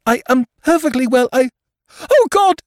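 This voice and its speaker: Frantic widow, played by a male narrator, high-pitched, gasping, mystery audiobook melodrama. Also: animated, normal speed, dry recording, clean studio sound. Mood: frantic insistence. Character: terrified, hysterical widowed woman with a guilty secret. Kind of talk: dramatised audiobook reading of a mystery story.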